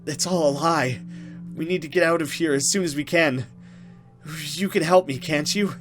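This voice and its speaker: Man playing frightened mysterious young woman, halting dramatic speech, horror visual novel, faint music behind. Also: a little high, fairly bright tone, natural voice. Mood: urgent, warning. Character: mysterious frightened young woman, escaped captive, urgent and uneasy.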